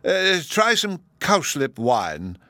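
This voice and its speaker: A man's voice: fairly high pitch, huge pitch swings, takes it slowly, bright, forceful tone, dry recording, studio quality, pitched above the performer's own voice, full character voice. A man voicing a befuddled, kindly old professor in a storybook, with a hesitant, singsong delivery. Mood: puzzled, kindly. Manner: dithering, hesitant, singsong and theatrical.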